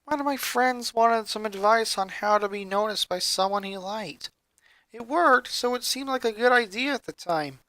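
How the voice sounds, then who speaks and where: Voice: medium pitch, normal speed, a bit bright, no room sound, voice pushed up, close to an everyday voice. A story reader voicing a hurt preteen boy who confides his grievances in a sullen way. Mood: bitter griping.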